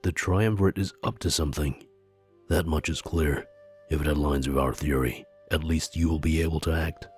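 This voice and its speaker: Very deep, husky mystic seer. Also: male voice, steady tone, darkish tone. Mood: grave warning. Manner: grave, deliberate, formal, speaks as "we". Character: solemn, conspiratorial mystic seer advising a monarch. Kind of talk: scripted visual novel character dialogue. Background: background music.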